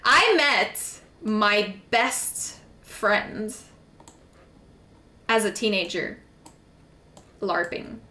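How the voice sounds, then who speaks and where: Bright-voiced woman streaming games. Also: medium pitch, expressive, very slow, pauses often. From Quebec, Canada. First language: French. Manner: chatty and rambling, with frequent "like" fillers. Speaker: game streamer. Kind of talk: casual chat while gaming. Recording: echoey room.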